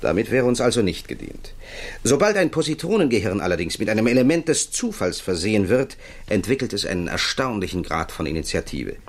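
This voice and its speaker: An actor playing a cautious, sober computer expert in a 1960s German radio drama, explaining precisely and matter-of-factly. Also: male voice, on the low side, very animated, brisk. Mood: didactic. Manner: precise, didactic, matter-of-fact.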